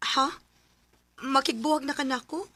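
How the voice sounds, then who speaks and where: A young woman playing a calm, polite teenage schoolgirl in a radio drama. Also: high-pitched, moderate pace, dry recording. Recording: loud cricket ambience behind. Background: crickets and insects.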